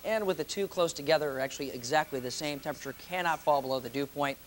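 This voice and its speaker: A man's voice: a little high, fast talker. A man presenting a live TV weather forecast as a meteorologist, in a conversational, ad-libbed way.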